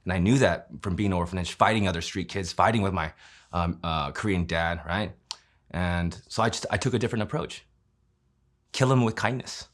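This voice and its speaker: A man's voice: low-pitched, brisk. A man, telling his own story in an interview in a conversational way, often using fillers and tag questions. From Kansas City, Missouri, USA.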